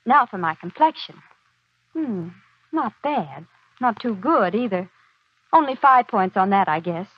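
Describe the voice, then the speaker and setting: An actress playing a chirpy young housewife musing to herself in a 1940s radio advertisement, perky and confiding. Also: female voice, medium pitch, lively intonation, measured pace, pauses often, husky, no echo. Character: chirpy, self-appraising young housewife at her mirror. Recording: dull, dry 1940s radio broadcast.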